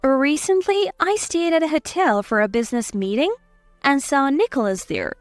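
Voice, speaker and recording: A woman's voice: high-pitched, big pitch swings, moderate pace, no room sound, faint music behind, voice pushed up. A woman voicing a confident, protective aunt who speaks in a pointed, composed way in a dramatized story. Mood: pointed, smug. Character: confident, cutting, protective aunt exposing cheaters. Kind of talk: scripted story dialogue.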